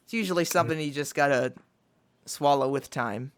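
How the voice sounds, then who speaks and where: A man's voice: fairly high pitch, fairly quick. A man chatting casually with friends in an agreeable, easygoing way. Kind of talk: casual chat among friends.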